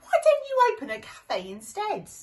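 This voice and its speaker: A woman's voice: high-pitched, wildly swooping pitch, normal speed, darkish tone, a little hiss or hum, voice pushed up. A woman voicing an emphatic, exclamatory neighbour dog in a storybook. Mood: encouraging. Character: neighbourhood dogs, expressive, exclamatory townsfolk of a storybook.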